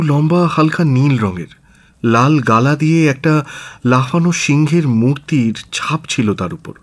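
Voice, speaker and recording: An actor playing an aristocratic government minister in a radio drama, formal, earnest and deliberate, with hesitant pauses. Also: male voice, on the high side. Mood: careful, precise. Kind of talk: Bengali radio drama acting.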